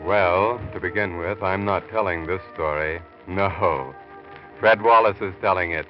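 Creepy old-time radio narrator, really low voice, mocking, music under muffled sound. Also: male voice, measured pace. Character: sinister omniscient male narrator who knows dark secrets. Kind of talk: 1940s radio mystery acting.

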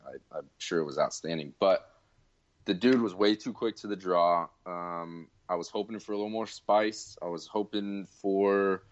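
Deep-voiced rueful man, lo-fi. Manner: self-deprecating, rueful. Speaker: podcast co-host. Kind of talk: casual sports chat. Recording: dull lo-fi sound.

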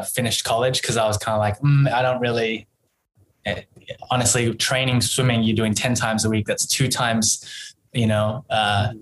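A man's voice: mid-range voice, rough recording. An Australian man in his thirties speaks as a podcast guest, casual and informal. He talks in loose, hesitant phrases and often restarts them. There is some noise in the recording. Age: 30s. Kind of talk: casual conversational storytelling.

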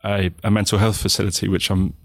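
A man with a southern English accent, a guest in an interview, talking reflectively with many pauses, restarts and "you know"s. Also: fairly low pitch, little pitch movement, creaky, no room sound. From England.

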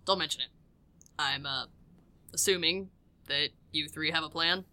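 A woman playing a composed male ranger director with a measured, matter-of-fact delivery. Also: low voice, sharp tone, voice pushed down.

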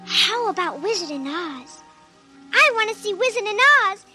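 A woman's voice: very high-pitched, lively intonation, normal speed, bright, forceful tone, soft background music, heavily acted. A woman playing an eager, pleading little girl in an old-time radio drama.

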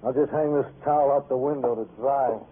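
Husky, bitter working man on tinny radio actor. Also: mid-range voice, a little fast, lightly acted. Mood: bitter, weary. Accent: American. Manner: flat sardonic evasions in short broken phrases. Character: bitter, evasive, tired male night-shift power plant worker. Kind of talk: old-time radio crime drama acting. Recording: tinny, band-limited vintage radio recording.

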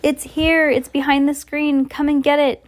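Excitable witch underling in a storybook, shrill and exclaiming, raised pitch woman reader acting her out. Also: moderate pace, natural voice. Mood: triumphant. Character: excitable, disgusted witches hunting a child.

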